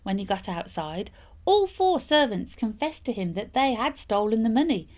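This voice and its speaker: Lively woman storyteller. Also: on the high side, moderate pace. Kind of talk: expressive fairytale reading.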